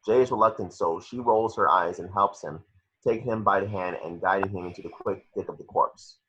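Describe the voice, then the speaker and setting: A man's voice: low voice, brisk, a bit bright, husky. A man reading scripted action descriptions as narrator for a table read, on a muffled home recording.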